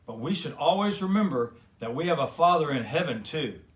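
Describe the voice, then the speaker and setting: A man's voice: a little high, animated, fairly quick, close to an everyday voice. A man voicing a hearty, cheerful old grandfather bear in a children's storybook. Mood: content, reverent.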